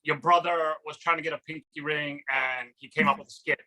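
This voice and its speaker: A man co-hosting a chat show, asking polite, measured questions with small hesitations. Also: on the high side, steady tone, sharp tone, low-quality recording. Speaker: podcast co-host. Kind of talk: casual interview chat.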